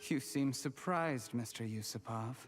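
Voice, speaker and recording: An actor voicing a coldly vengeful young male schemer in a video game, measured and theatrical, with menacing composure. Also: mid-range voice, natural voice. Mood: bitter, defiant. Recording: game music under the voice.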